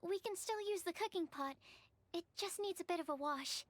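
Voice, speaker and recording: Tiny girl sidekick, very high voice, excitable, friendly, fantasy game dialogue. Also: normal speed.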